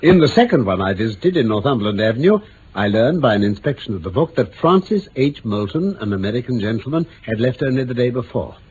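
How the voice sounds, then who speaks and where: A man's voice: mid-range voice, big pitch swings, fast talker, darkish tone, close to an everyday voice. Seeking a man to play a courteous, self-assured British detective for old radio drama: swooping intonation, precise explanations, faint hiss. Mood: assured, explanatory. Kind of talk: 1950s-60s BBC radio drama acting. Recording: dull vintage radio recording, faint noise.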